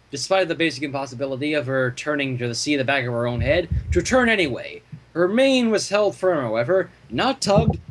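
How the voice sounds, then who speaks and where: A man reading fan fiction aloud as an expressive story narrator. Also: on the high side, slight background noise, poor audio.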